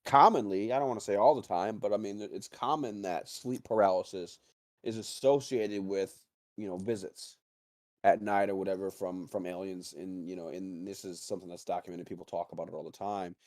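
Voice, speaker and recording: Male talk panelist, low voice, dry close sound, casual and rambling, circling back over phrases. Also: steady tone, darkish tone, dry recording. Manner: rambling, halting with repetitions. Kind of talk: casual speculative discussion.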